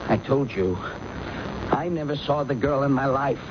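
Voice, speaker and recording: An actor playing a defensive, indignant office worker in a 1970s radio drama, emphatic and protesting. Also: male voice, mid-range voice, moderate pace, close to an everyday voice. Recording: muffled, noisy old radio recording.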